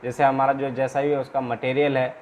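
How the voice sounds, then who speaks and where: A man's voice: mid-range voice, little pitch movement, brisk, darkish tone. A man teaching informally in an instructional video, explaining paperwork step by step and often repeating himself with small check-in tags. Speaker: trainer and channel host. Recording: clear background noise, room ambience.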